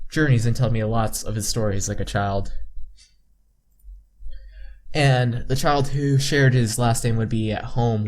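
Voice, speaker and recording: A man doing a game playthrough, reading visual novel text aloud haltingly and adding his own asides. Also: medium pitch, darkish tone. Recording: game music behind the voice. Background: game music.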